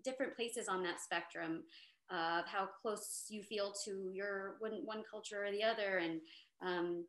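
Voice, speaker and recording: A chatty woman in her thirties talks on a video-call panel, lively and full of fillers and restarts. Her voice sits at a middle pitch. It rings in a roomy, echoey space. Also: measured pace. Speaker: actor on a panel. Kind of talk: casual panel storytelling. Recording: roomy echo.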